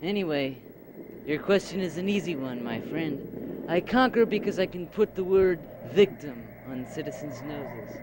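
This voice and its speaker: A man's voice: a little high, animated, normal speed, lightly acted. A man playing a ruthless, boastful older conqueror who commands robot soldiers, in an amateur science fiction audio drama. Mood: gloating, musing. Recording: noisy 1990s home cassette recording.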